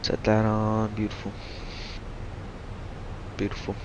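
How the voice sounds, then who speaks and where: A man's voice: on the low side, monotone, slow pace. A young man casually talking through a flight simulator stream, laid-back and rambling, saying he is losing his voice. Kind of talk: casual live-stream commentary. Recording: muffled stream audio with noise.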